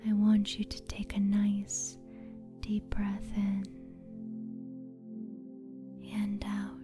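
A woman speaking in a breathy whisper as an ASMR host, in a slow, soothing, deliberate manner. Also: mid-range voice, monotone, over music. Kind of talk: whispered soft-spoken ASMR, guided sleep meditation.

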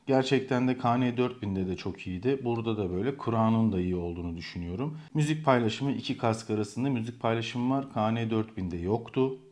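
A man's voice: medium pitch, a little mellow. Male motorcycle vlogger, middle-register voice, informal explanatory delivery, casual review style. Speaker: motorcycle vlogger hosting own channel. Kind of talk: casual product review to camera.

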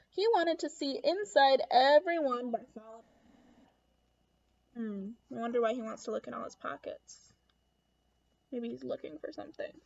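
Young woman, high-pitched voice, expressive storybook reading, chatty asides, dull lo-fi home recording. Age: young adult. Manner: expressive, addresses young listeners with questions. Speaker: picture book read-aloud host. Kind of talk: children's storybook read-aloud with asides. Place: small room at home, indoors. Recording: dull lo-fi webcam sound.

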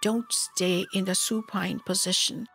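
A woman narrates a memoir with slow, deliberate delivery. Her voice has a gravelly fry at the edges, and she keeps a solemn tone over a musical backing. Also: mid-range voice, fairly even intonation, fairly bright tone. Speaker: spiritual teacher, narrator. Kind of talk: scripted memoir narration, read aloud. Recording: music behind the voice.

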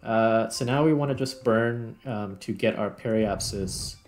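A man with a fairly low voice hosts a gameplay video in a casual style. He thinks aloud and often corrects himself mid-thought. Faint music plays behind him. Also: unhurried, a little mellow. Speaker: gaming video host.

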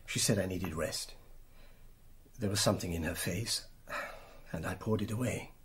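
Husky, mournful husband. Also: male voice, sits low, moderate pace. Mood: sad, subdued. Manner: subdued, mournful. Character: sorrowful, devoted, gentle husband, a doctor. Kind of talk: radio drama acting.

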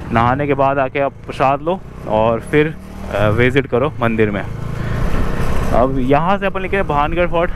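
A young man with a slightly high voice vlogs outdoors, with clear road and motorbike noise around him. He chats casually in friendly slang, as if to mates. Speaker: vlogger. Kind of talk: casual on-the-go vlog chat.

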